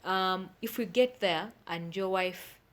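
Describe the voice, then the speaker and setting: A woman's voice: fairly low pitch, takes it slowly. A woman speaking as an expert interview guest, explaining things plainly step by step and checking that she is understood.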